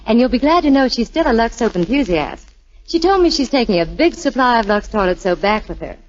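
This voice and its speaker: Woman radio spokesperson, 1940s, scripted chatty delivery, highly varied intonation, dull old broadcast. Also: sing-song, brisk. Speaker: advertising spokeswoman.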